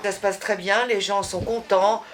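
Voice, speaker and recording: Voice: mid-range voice, fairly even intonation, normal speed, fairly bright tone, a little hiss or hum. A vaccination centre staff member speaking hesitantly about grateful patients. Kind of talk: interview answer.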